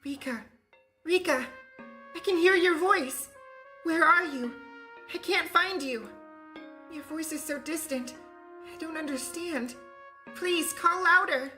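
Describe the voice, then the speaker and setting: A woman voicing a gentle girl deity who calls softly, pleading from far away. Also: very high-pitched, takes it slowly, pauses often, soft background music, exaggerated voice. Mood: distant, pleading.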